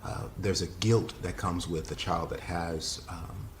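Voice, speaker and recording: A man in his forties, a father speaking haltingly and thoughtfully about his family in a testimonial interview. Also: deep voice, fairly even intonation, noisy background. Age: 40s. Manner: halting, thoughtful, with many pauses.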